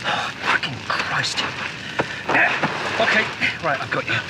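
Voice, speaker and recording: A man's voice: a little high, big pitch swings, unhurried. A man acting an exasperated, protective, sweary survivor in a horror audio drama, blunt and agitated. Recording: very noisy outdoor scene effects.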